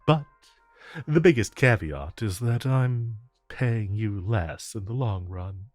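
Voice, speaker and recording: A man playing a suave, flirtatious supervillain in a romantic audio roleplay, with a smooth, teasing drawl and deliberate pauses. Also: fairly low pitch, lively intonation, no echo, faint music behind. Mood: wry, teasing. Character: suave, flirtatious male supervillain courting his tailor.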